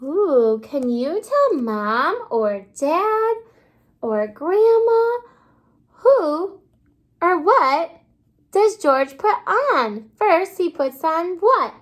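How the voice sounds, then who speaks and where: Woman, kindergarten teacher. Slow, animated read-aloud delivery, high voice, prompting young listeners. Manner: expressive, prompting listeners with questions and pauses. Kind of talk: children's read-aloud lesson with questions.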